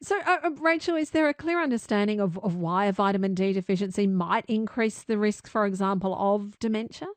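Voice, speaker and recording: A woman's voice: mid-range voice, fairly quick, hardly any pauses, no room sound. A woman, casually interviewing as a talk radio host. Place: radio studio.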